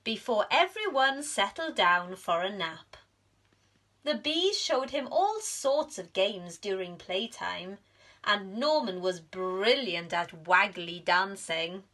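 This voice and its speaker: A woman reading a children's story aloud like a primary school teacher, with expressive, dramatic emphasis. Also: mid-range voice, slow pace. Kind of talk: animated storybook narration for young children.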